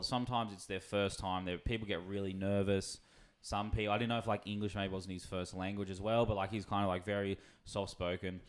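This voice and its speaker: Rambling, laughing podcast host. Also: male voice, lowish voice, smooth. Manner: rambling storyteller, laughs while talking. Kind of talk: casual chat.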